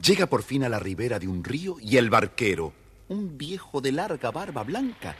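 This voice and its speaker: A man with a husky voice, narrating a scripted children's story. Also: mid-range voice, very animated, normal speed, fairly bright tone, faint music behind.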